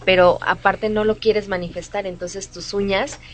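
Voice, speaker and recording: A woman's voice: medium pitch, flat delivery, moderate pace. A woman hosting a casual radio talk, agreeing often and adding short points. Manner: affirming, many short backchannels. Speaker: radio host.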